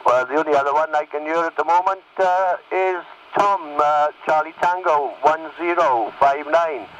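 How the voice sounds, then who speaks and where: Man, CB radio operator, fairly high voice, casual chat, clearly noisy signal. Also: moderate pace, distant mic. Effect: CB radio transmission.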